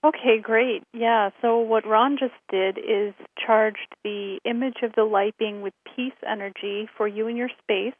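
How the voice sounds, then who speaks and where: A woman hosting a radio show, hoarse from a cold, giving friendly announcements with many fillers. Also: a little high, fairly even intonation, a little slow, hardly any pauses. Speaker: host. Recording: thin phone-line sound.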